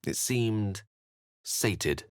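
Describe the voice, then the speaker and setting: A man playing an eloquent, courteous wizard in a video game, articulate and literary in his measured delivery. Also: on the low side, animated, clean tone, dry recording, clean studio sound. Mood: grave, narrating. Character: eloquent, courteous, bookish male human wizard, a wry adventurer. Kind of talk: video game character lines and narration.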